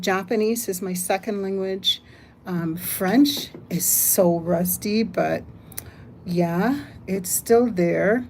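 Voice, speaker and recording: An older woman hosts a casual live stream, reading viewers' comments aloud and thinking out loud as she goes. Her voice is mellow and warm and sits at a middle pitch. Faint background noise hums under the home-mic sound. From United States. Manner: chatty, reads chat aloud, thinks out loud. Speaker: livestream host.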